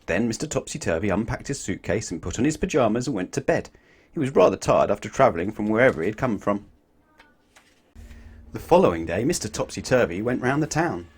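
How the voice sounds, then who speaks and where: A man reading a children's storybook aloud in an expressive way, putting questions to his young listeners. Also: lowish voice, fairly quick, breathy edge. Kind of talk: animated children's storybook narration.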